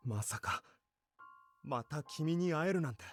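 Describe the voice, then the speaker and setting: An actor playing a gentle, courteous, mysterious young gentleman in a visual novel game. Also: a little high, animated, a little fast, faint music behind, lightly acted. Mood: gentle, wistful. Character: gentle, courteous young man, mysterious amusement park director. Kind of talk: Japanese visual novel voice acting.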